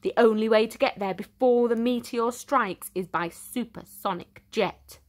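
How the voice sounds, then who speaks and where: A woman reading a children's storybook aloud in an expressive, theatrical way with deliberate emphasis. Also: a little high. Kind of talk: dramatic storytime narration for young children.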